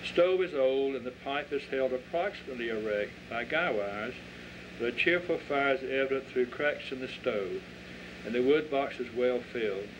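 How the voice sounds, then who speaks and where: A man's voice: medium pitch, normal speed. A man narrating a scripted short story, reading out scene descriptions as the narrator. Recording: dull lo-fi audio with faint noise.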